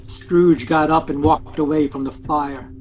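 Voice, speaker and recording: An actor as the narrator of an audio play, telling the tale in a dramatic scripted storytelling style. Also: male voice, fairly high pitch, fairly even intonation, a little slow, a little mellow, highs cut off, slight background noise, natural voice. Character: male storyteller of a Christmas ghost tale.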